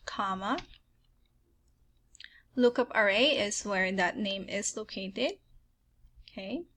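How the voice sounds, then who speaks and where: A woman's voice: medium pitch, a bit bright. A woman with a Filipino accent walking viewers through spreadsheet formulas step by step, often checking in with "okay". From Philippines. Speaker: spreadsheet tutorial host. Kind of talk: screen-recorded software tutorial.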